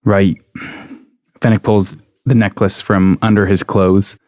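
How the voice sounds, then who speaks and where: A man's voice: lowish voice, flat delivery, slow pace, darkish tone, no echo. A man playing a tabletop game, switching between narration and his character's dialogue, close on the microphone.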